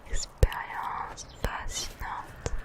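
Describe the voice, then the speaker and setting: A woman doing ASMR game commentary in a soft, breathy whisper, with slow, drawn-out phrasing. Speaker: ASMR gaming host.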